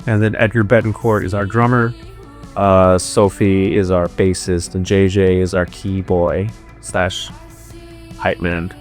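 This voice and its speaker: A man's voice: low voice, little pitch movement, a little slow, soft background music. A man, an indie band musician, chatting casually and jokingly in an interview. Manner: joking, banter with bandmate.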